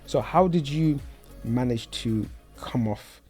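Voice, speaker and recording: A man's voice: mid-range voice, slow pace, a little mellow, slightly rough, faint music behind. A man hosting a casual property podcast interview in a studio, friendly and bantering, often repeating words as he speaks. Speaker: podcast host.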